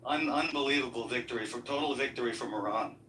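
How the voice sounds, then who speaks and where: A man's voice: medium pitch, fast, bright, forceful tone. A man giving his opinion in a debate, speaking reflectively and with some hesitation, recorded in an echoey space away from the mic. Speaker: debate participant. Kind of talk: heated online debate. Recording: echoey room, distant from mic, faint noise.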